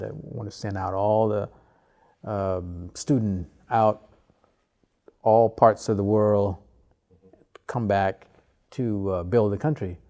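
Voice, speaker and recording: A man in his fifties from Malaysia, an artist talking about his life in an interview, unhurried and full of pauses and fillers. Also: fairly low pitch, creaky, warm tone. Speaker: artist guest. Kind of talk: relaxed interview conversation.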